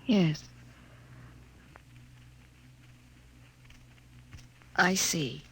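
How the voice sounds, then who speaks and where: A woman's voice: fairly low pitch, slow pace, gravelly, a bit bright, slightly rough, heavily acted. An actress playing an earnest, troubled woman scientist with hesitant delivery in 1950s radio drama style. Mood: anxious, pleading. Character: earnest, troubled female scientist awakening to love.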